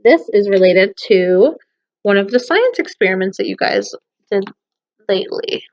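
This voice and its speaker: A woman's voice: mid-range voice, expressive, normal speed, gravelly, a little mellow, dull audio. A woman teacher reading children's poems aloud and explaining things to young listeners, often putting questions to them. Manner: addresses children with frequent questions.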